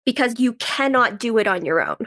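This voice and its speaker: A woman's voice: fairly high pitch, big pitch swings, brisk, fairly bright tone. A woman speaking as an interview guest in a conversational, earnest and explanatory way. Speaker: podcast guest, actress and writer.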